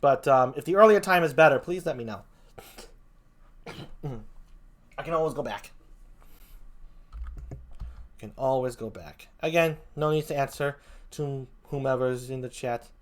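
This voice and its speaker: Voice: mid-range voice, unhurried, long pauses. A live streamer chatting casually with the chat while setting up to sing, talking loosely and swearing when flustered. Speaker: VTuber streamer.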